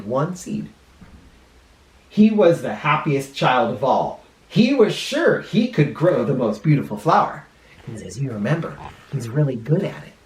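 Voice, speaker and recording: A male teacher reading a picture book aloud to children expressively, pausing dramatically and explaining words in simple terms. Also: a little high. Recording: roomy, echoing room sound.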